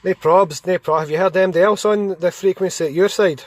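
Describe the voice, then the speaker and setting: A man's voice: high voice, fairly even intonation, quick pace, a little hiss or hum. A Scottish man chatting casually as a CB radio operator.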